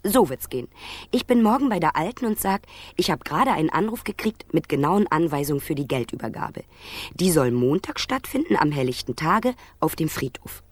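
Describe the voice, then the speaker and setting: A woman's voice: low-pitched, big pitch swings, breathy edge, no room sound, exaggerated voice. A woman playing a cool, bossy schemer in a radio crime drama, confident and teasingly condescending. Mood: scheming. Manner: brisk, confident, teasingly patronising.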